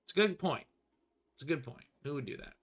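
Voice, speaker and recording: A man's voice: fairly high pitch, lively intonation, fast talker, husky. A middle-aged man gives casual, wry play-through commentary on a solo tabletop game, thinking aloud as he goes. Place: small indoor room with bookshelves.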